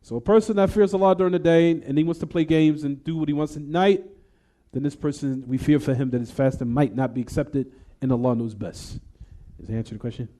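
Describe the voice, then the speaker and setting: A man's voice: fairly high pitch, fairly even intonation, a little fast, mellow. A man teaching a religious lesson, reading a text aloud and explaining it, often asking the class rhetorical questions. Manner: reads quoted text then explains, asks the class rhetorical "what?" questions; switches between English and recited Arabic. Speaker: Islamic teacher.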